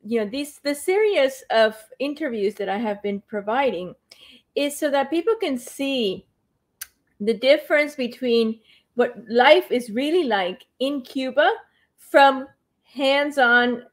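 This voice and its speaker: A woman's voice: high voice, lively intonation, takes it slowly. A woman hosting an online interview, hesitant and full of fillers and restarts. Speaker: host.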